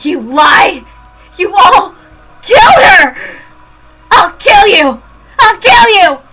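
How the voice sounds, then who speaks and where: A woman's voice: extremely high pitch, big pitch swings, normal speed, over loud music, pitched above the performer's own voice, poor audio. A woman voicing a grief-stricken teenage girl who shrieks and sobs in a murderous rage. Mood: screaming crying. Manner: shrieking and sobbing.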